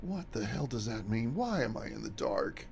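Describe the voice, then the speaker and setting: Actor doing a belligerent panicky captive killer, pitch all over, gravelly, radio drama, bit of noise. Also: male voice, sing-song, moderate pace. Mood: confused. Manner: frantic, swinging from bluster to pleading. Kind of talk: radio mystery theatre acting.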